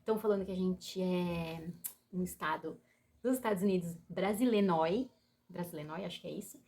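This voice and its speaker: A Brazilian woman with a Brazilian Portuguese accent, chatting to camera on her channel, bouncing between excited bursts and soft, earnest asides. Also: lowish voice, a little fast. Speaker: YouTuber. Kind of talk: casual chat to camera.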